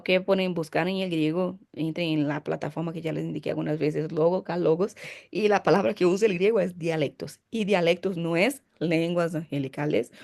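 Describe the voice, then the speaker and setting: Woman, low-pitched, pastor, Bible teaching, emphatic explainer, rhetorical questions. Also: creaky, a little mellow. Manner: explanatory, emphatic, asks and answers own questions.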